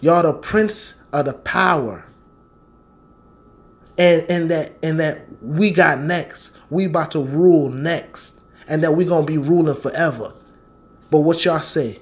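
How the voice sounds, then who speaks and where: An American man preaches in a somewhat high voice at a slow, deliberate pace. He is confrontational and slangy, leaning on repeated phrases as he teaches scripture in a fervent, informal sermon. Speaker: street-style preacher and Bible teacher.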